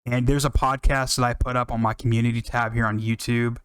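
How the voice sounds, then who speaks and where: A man's voice: medium pitch, flat delivery, fairly quick, a bit bright, clean tone, no room sound. An American man hosting a music podcast, talking casually and enthusiastically in a rambling, unscripted way with some swearing.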